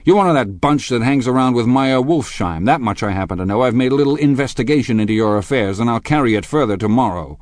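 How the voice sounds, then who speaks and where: A man voicing an angry, scornful husband in a storybook, forceful, accusing and emphatic. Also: lowish voice, normal speed, no room sound, studio quality, voice pushed up, lightly acted.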